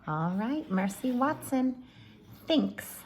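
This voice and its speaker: A woman reads a storybook to young listeners in a teacherly, expressive way. Her pitch moves up and down a lot and her pace is unhurried. There is some background noise in the recording. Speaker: teacher reading aloud to children. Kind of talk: animated storybook read-aloud with asides.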